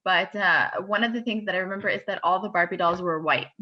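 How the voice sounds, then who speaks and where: A woman from Somalia who moved to Canada as a child, telling personal stories casually and haltingly as an interview guest. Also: fairly low pitch. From Somalia, moved to Canada as a child via Italy. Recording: dull, limited-band audio.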